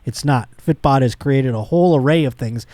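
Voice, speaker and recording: A man in his late thirties with a mid-pitched voice, recorded close and dry. He talks like a comedy podcast host, casual and slangy, with a blunt streak. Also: fairly quick, mellow, no room sound. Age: late 30s. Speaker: talk show host.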